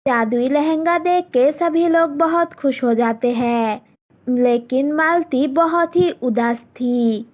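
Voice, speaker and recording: A woman narrates a cartoon story in a plain, slightly halting way. Her voice is very high and her pace is slow. The recording is dull and lo-fi. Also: a little mellow.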